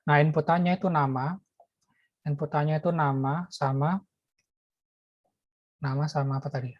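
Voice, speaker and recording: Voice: medium pitch, fairly even intonation, moderate pace. A lecturer walks through code as he types it, thinking aloud and often stopping to restart a phrase. The sound is dull and close, with no room echo, as from a computer mic. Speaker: programming lecturer. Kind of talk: live coding lecture.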